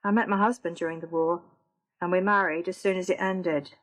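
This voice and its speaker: A woman's voice: fairly low pitch, fairly even intonation, brisk, lightly acted. A woman reading the first-person memories of an elderly woman who recalls her wartime youth, told in a plain reminiscing way.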